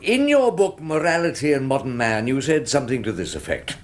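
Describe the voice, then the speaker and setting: An actor playing a wry, combative older English defence barrister, cross-examining theatrically and sardonically in a courtroom drama. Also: male voice, medium pitch, big pitch swings, a little fast, close to an everyday voice. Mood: probing, sardonic. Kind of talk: courtroom radio drama acting.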